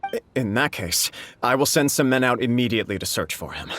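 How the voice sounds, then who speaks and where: An actor playing a courteous, earnest shogunate official in a video game, formal and deliberate in speech. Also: male voice, mid-range voice, expressive, fairly bright tone, slight background noise, natural voice. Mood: concerned, deferential.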